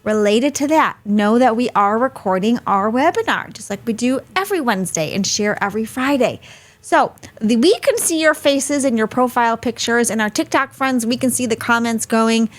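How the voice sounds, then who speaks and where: Friendly pa lady running a webinar, chatty, laughs a lot, bit of a higher voice. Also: expressive, moderate pace. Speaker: host. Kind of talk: hosting a live webinar.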